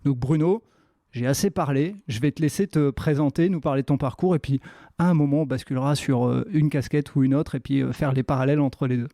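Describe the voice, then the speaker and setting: A man's voice: mid-range voice, a little fast, warm tone, no echo, clean studio sound. A man hosting a podcast interview, introducing his guest in a friendly way with frequent fillers. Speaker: podcast host.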